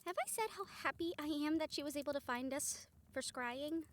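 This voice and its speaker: A woman acting a friendly, supportive young woman in an audio roleplay, speaking in a gentle, earnest manner. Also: high voice, fairly quick, natural voice. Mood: grateful.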